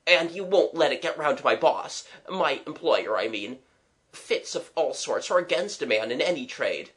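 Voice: medium pitch, lively intonation, moderate pace, a bit bright, voice pushed up, lightly acted. A reader voicing a flustered working-class young man, gasping, agitated and apologetic, in a storybook. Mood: dazed, anxious.

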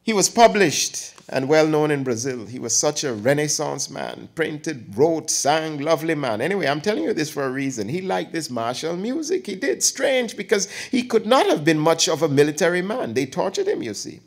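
A man's voice: a little high, very animated, normal speed, few pauses, voice pushed up. A man reading an audiobook, voicing an elderly aunt who teases and gossips with theatrical flair. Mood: reminiscing. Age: older. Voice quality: teasing, theatrical, lapsing into dialect.

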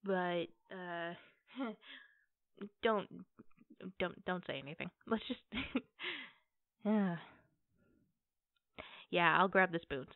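A woman playing an affectionate, playful girlfriend in a casual, conversational ASMR roleplay, with hesitant pauses. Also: on the low side, animated, dry recording. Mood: amused, playful. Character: affectionate, playful girlfriend baking cookies at home. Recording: tinny, phone-like band-limited audio.

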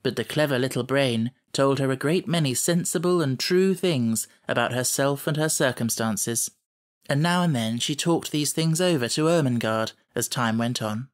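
A man's voice: mid-range voice, normal speed. A man reading a children's novel aloud as scripted audiobook narration.

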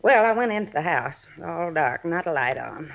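An actress playing a suspicious, bossy, chatty neighbor in a 1950s radio drama, with brusque, folksy, ungrammatical speech. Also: female voice, low voice, expressive, normal speed, vocal fry, heavily acted. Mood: chatty, recounting. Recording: muffled old radio recording.

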